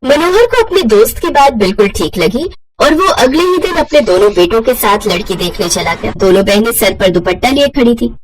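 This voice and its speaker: Animated, high-voiced woman storyteller. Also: normal speed, husky, rough recording. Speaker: narrator. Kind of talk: scripted moral story narration.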